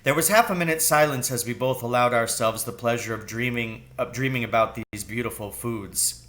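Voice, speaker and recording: Bright-voiced man narrating a storybook. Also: mid-range voice, normal speed. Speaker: teacher reading aloud.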